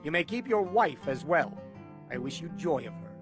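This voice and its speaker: A man voicing a cold, imperious lord and royal minister, measured, formal and contemptuous, in an audiobook. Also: fairly high pitch, big pitch swings, music in the background.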